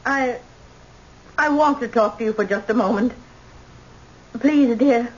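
A woman's voice: fairly high pitch, measured pace, slightly rough. A woman playing an indomitable, bitter old grandmother, imperious and emphatic, in old-time radio drama style. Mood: pleading, brooding. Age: older. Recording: dull, noisy old radio recording.

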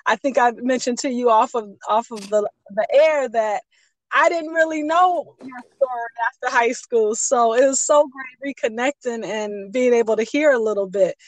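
A woman's voice: fairly high pitch, moderate pace, dry recording, low-quality recording. A middle-aged woman with an American accent, hosting a conversational radio interview. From Pontiac, Michigan, United States. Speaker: radio show host.

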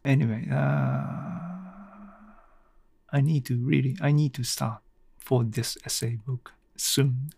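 A man speaks slowly in a casual solo podcast style. His voice has a noticeable creak, and the sound is close and dry. He feels his way through sentences haltingly, as if thinking aloud. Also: mid-range voice, a little mellow, no echo. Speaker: podcast host. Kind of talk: casual unscripted solo talk.

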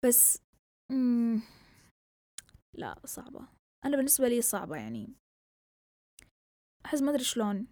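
A woman's voice: on the high side, huge pitch swings, normal speed, long pauses, warm tone, no room sound. A young woman from southern Yemen hosting a casual solo podcast, with a mixed Yemeni and Saudi Gulf Arabic accent, talking in a chatty, rambling way and switching between Arabic and English. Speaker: podcast host.

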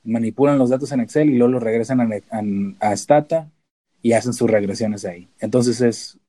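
A man with a northern Mexican accent speaks in a mellow, relaxed tone at a middling pitch. He explains things casually and informally as a podcast guest, dropping in the odd English term. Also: moderate pace. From Tijuana, Mexico. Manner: informal, sprinkles in English terms. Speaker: podcast guest, data analyst and researcher.

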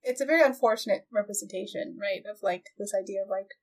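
A woman hosting a podcast, chatting casually in a halting, giggly way as she recaps a show. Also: mid-range voice. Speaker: podcast host. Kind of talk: casual chat, recapping an anime.

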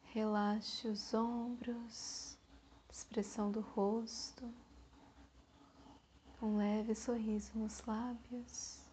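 A Brazilian woman leads a guided meditation in a soft-edged, mellow voice that sits fairly high. She speaks very slowly and evenly, leaving long soothing pauses between short phrases. A faint background ambience runs behind her. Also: level tone, slight background noise.

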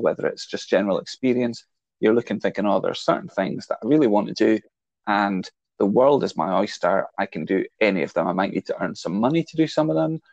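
A man's voice: on the low side, vocal fry, a little mellow, no echo, highs cut off. A man in his forties co-hosting a casual film chat, explaining his ideas at length and teasing his younger co-host. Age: 40s. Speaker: podcast co-host.

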